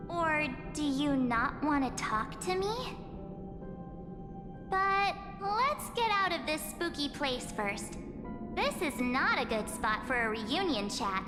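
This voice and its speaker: A woman's voice: high-pitched, big pitch swings, slow pace, full character voice. An actress playing an uncanny memory spirit who poses as a perky girl in a video game, teasing, breezy and persuasive. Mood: coaxing, breezy. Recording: long hall reverb, game music behind.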